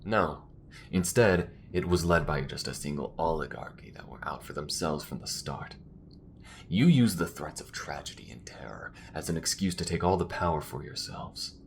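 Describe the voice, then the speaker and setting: A man's voice: expressive, normal speed, gravelly, a little hiss or hum, exaggerated voice. A man playing a weary, principled space rebel in a sci-fi audio drama, speaking in an earnest, emphatic way. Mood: defiant, firm. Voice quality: low-pitched.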